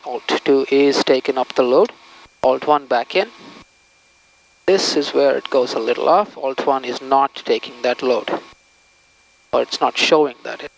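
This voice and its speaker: A man's voice: medium pitch, creaky, rough recording. A man, a pilot, calling out pre-flight checks to himself in clipped, methodical phrases in a small plane cockpit.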